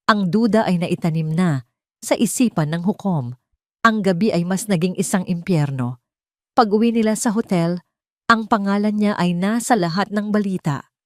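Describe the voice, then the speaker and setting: A woman's voice: mid-range voice, normal speed, dry recording, studio quality. A woman storyteller reading scripted dramatic narration.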